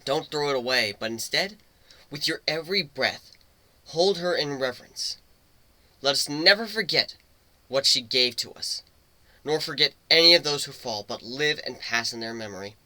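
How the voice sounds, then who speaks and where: A man voicing a gruff, rousing griffin crew leader in a dramatic reading, with solemn, emphatic oratory. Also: mid-range voice, animated, normal speed, bright, forceful tone, dry recording, low-quality recording. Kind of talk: dramatic reading of fan fiction.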